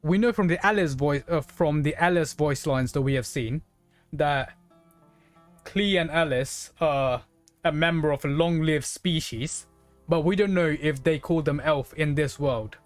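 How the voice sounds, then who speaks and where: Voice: medium pitch, a little slow, no room sound. Casual game streamer thinking aloud over music. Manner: rambling, conversational, thinking aloud. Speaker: live streamer playing a video game. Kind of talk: casual gaming stream commentary, chatting with viewers.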